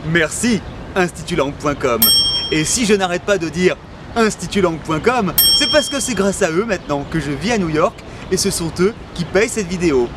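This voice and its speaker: A man's voice: sits high, expressive, moderate pace, noisy background. A man hosting a parody language lesson in a mock-earnest, cheeky manner. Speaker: comedic language teacher host.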